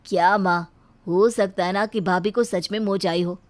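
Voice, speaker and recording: A woman's voice: medium pitch, fairly even intonation, normal speed, close to an everyday voice. A woman voicing a mild, trusting young man, a bridegroom and brother-in-law, in a moral story. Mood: reasoning. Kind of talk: animated moral storybook acting.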